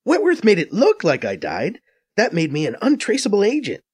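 A man's voice: sits high, moderate pace, breathy edge, pitched above the performer's own voice, exaggerated voice. A man voicing a brash, profane female undercover agent who teases and swears freely, in an audiobook. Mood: impatient. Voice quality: raised, lively, cocky voice. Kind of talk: audiobook character voice.

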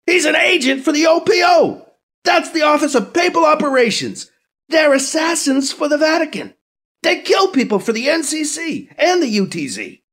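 A man voicing a furious male politician ranting and shouting accusations on a TV show. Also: very high-pitched, big pitch swings, moderate pace, pitched above the performer's own voice, exaggerated voice.

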